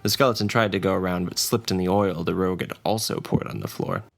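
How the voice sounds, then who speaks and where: A man with a low, gravelly voice reads scripted fantasy narration as a storyteller. Faint music and ambience sit behind his voice. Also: animated, quick pace. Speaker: narrator and dungeon master.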